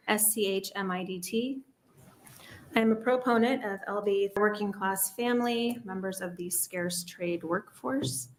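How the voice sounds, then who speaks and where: Woman reading her testimony, earnest and steady, mid pitch. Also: low-quality recording. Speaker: testifier at a hearing.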